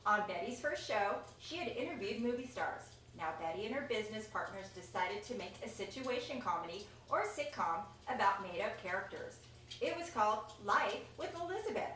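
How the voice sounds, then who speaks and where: A woman reading a children's picture book aloud, expressive and chatty, with casual asides about the pictures. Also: medium pitch, fairly quick. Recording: echoey room, distant mic, faint noise.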